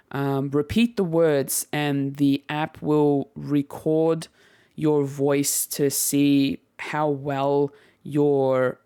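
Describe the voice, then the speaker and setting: Slow, smooth-voiced young podcast host. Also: medium pitch, a little mellow, dry recording, studio quality. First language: Turkish heritage household, speaks English at home. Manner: reflective and rambling, frequent laughs and self-corrections. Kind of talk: casual solo podcast monologue.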